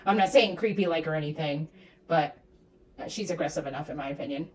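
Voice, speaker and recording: Distant, low-pitched woman giving sarcastic commentary. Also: brisk, a little hiss or hum. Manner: sarcastic, disapproving. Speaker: commentator reacting to clips of a streamer. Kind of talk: critical reaction commentary.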